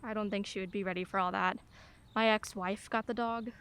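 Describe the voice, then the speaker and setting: A woman's voice: mid-range voice, fairly even intonation, a little fast, a bit bright, clear, resonant tone, natural voice. A woman acting in a radio play as a weary, wry single mother of a teenager, dry and guarded but polite.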